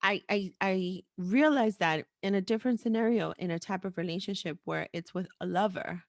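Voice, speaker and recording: A woman's voice: medium pitch, moderate pace, no room sound, studio quality. A woman hosting a podcast in a frank, informal, unscripted way, repeating phrases for emphasis. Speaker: podcast host. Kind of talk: candid, unscripted podcast conversation.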